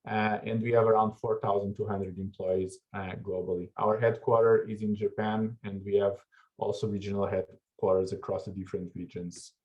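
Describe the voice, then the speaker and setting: A fairly low, flat-voiced man from Portugal delivers a keynote over a video call, pausing on fillers and restarting his phrases. The audio is dull and lo-fi. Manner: measured, with frequent fillers and restarts. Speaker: keynote speaker. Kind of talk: online conference presentation.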